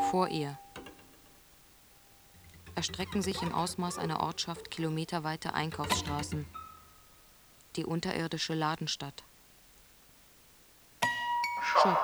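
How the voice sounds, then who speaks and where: A narrator reciting a literary radio play text in a deliberate, fragmented way. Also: mid-range voice, natural voice. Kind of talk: literary scripted narration over music. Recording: music behind the voice. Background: plucked guitar music.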